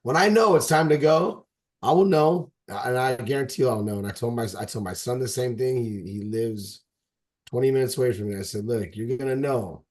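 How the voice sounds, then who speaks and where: A man's voice: mid-range voice, brisk, darkish tone. Man in his 40s, casual podcast chat, rambling, emphatic, keeps restarting sentences.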